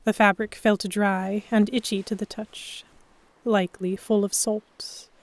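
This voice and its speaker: Female first-person narrator, audiobook style: medium pitch, expressive storytelling, dry studio-like sound. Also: unhurried, no room sound. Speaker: narrator and lead heroine.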